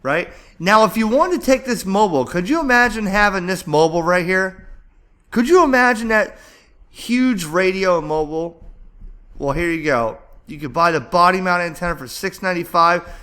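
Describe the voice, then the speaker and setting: A man with a bright, forceful voice pitched a little high. He talks like an enthusiastic hobby vlogger, casual and chatty, rambling along as he thinks out loud. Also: animated, moderate pace. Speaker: amateur radio vlogger and host. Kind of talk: casual enthusiastic vlog commentary, browsing and narrating.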